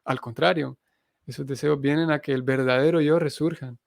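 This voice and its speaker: Male spiritual lecturer. Fry-laden voice, close dry sound, thoughtful explanatory delivery. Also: medium pitch, steady tone, moderate pace, no room sound. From Honduras. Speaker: Hare Krishna missionary teacher. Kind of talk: spiritual lecture, reading scripture aloud and explaining it.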